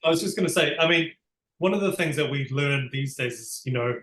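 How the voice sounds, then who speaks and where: A man's voice: on the high side, a little fast, fairly bright tone, roomy sound, a little hiss or hum, poor audio. A man with a New Zealand accent, answering interview questions in an earnest, explanatory way with frequent restarts. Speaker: barrister, interview guest. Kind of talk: conversational interview.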